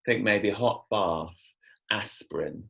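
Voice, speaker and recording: A man playing a flippant, exasperated personal assistant in a scripted drama table read, sardonic and halting. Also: fairly low pitch, vocal fry, a bit bright, full character voice. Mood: wry, patronising. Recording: video call.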